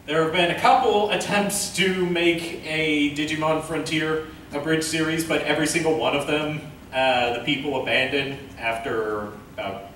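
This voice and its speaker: A man hosting an informal panel Q and A, speaking in a hesitant, halting way. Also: a little high, steady tone, fairly bright tone. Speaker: panel host, web series creator. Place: convention panel room. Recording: very echoey room, distant mic, noise.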